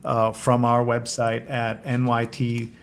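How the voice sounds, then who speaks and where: A man hosting a casual live show, presenting in an enthusiastic but halting way. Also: medium pitch, completely flat delivery. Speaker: host.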